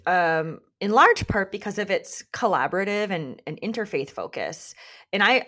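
A woman's voice: on the low side, fairly bright tone. A woman co-hosting a conversation, speaking earnestly and reflectively, with many fillers as she works out her thoughts. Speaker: podcast co-host and community leader. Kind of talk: casual conversational interview.

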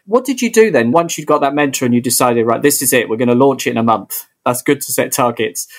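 A man hosting a podcast interview in a friendly way, often closing his points with a tag question. His voice sits at a middle pitch. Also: lively intonation, quick pace.

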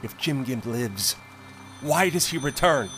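An imperious, suspicious court official. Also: male voice, medium pitch, expressive, unhurried, a bit bright, soft background music. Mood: indignant. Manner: imperious, dismissive, brooding. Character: proud, suspicious embezzling court official of middle rank. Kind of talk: audio drama acting.

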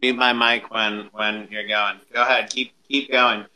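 A man's voice: fairly low pitch, flat delivery, a little slow, pushed and bright, poor audio. A man hosting a podcast, introducing and interviewing in an upbeat presenter style. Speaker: podcast host.

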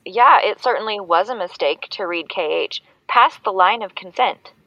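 Nervous, creaky-voiced caller. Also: female voice, mid-range voice, normal speed, bright, forceful tone, dry recording. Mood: earnest. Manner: hesitant, earnest. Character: nervous, sincere female caller and fan. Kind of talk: scripted fiction podcast acting. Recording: dry, dull call-in line.

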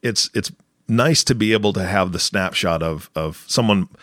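A man's voice: low voice, moderate pace, fairly bright tone, no room sound, clean studio sound. An older man, a grandfather, hosting a podcast and asking rambling follow-up questions. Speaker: podcast host. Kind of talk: podcast interview.

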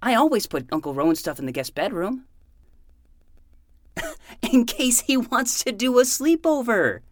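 A woman's voice: fairly high pitch, sing-song, measured pace, dry recording. A woman playing a self-important 12-year-old boy who acts like a hardboiled private eye in a fiction podcast. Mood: earnest, insistent. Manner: melodramatic, self-important noir narration.

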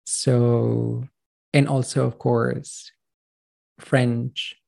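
A man's voice: medium pitch, level tone, very slow, gravelly, a little mellow. A Chilean man in his thirties, a native Spanish speaker, telling his story as a pronunciation coach in a casual interview, earnest and rambling with restarts.

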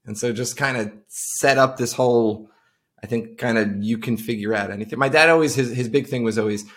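A man's voice: mid-range voice, a little fast, fairly bright tone. A middle-aged man from Long Island chatting casually as a podcast guest, telling stories in an informal way. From Long Island, United States. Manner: informal, anecdotal storytelling. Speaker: podcast guest, tech entrepreneur. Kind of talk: casual conversational interview.

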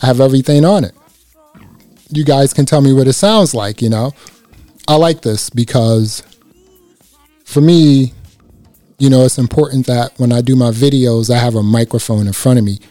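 A man with a slightly raspy voice reviewing a microphone in a casual, chatty way, speaking close into a dynamic mic. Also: medium pitch, normal speed, long pauses, no echo, faint music behind. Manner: relaxed, chatty, many "you know" fillers. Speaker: gear reviewer. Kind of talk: casual product review to camera. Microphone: close dynamic microphone through a processor.

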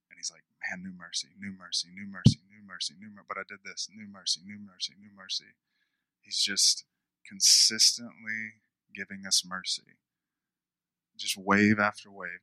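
A man preaching a conversational sermon, repeating phrases for emphasis, with a smooth radio-style voice. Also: low voice, level tone, normal speed, pushed and bright, no room sound, low-quality recording. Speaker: pastor.

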